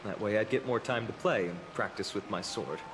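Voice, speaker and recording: A man voicing an earnest, wistful young warrior lord in a video game, reflective and gently wry. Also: fairly low pitch, fairly quick, close to an everyday voice. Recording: long reverb, loud music behind.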